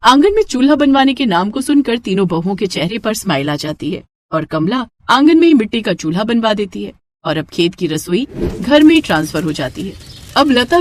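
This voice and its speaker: A woman storyteller reading scripted moral story narration. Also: mid-range voice.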